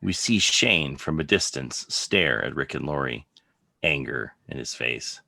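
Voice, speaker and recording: A man with a husky voice narrating scripted scene directions for a dramatic table read. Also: extremely low pitch, expressive, unhurried, bright, forceful tone, no room sound. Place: remote video call read. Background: music under the voice.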